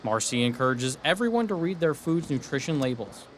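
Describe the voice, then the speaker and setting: A man narrating a student television news report in a clear, even delivery. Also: fairly high pitch, brisk, slight background noise, low-quality recording.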